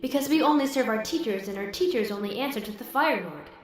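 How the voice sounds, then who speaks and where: A woman's voice: a little high, fast talker, natural voice. A woman playing an anxious, earnest fourteen-year-old military trainee thinking to herself in an audio drama. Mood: worried realization. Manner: fretful, earnest inner monologue. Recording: heavy echo on voice, music behind.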